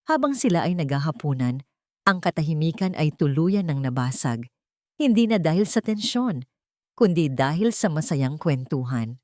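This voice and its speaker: Low-voiced Filipina storyteller, dry recording. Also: female voice, a little mellow, dry recording. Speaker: narrator. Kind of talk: scripted dramatic romance narration for an audio drama.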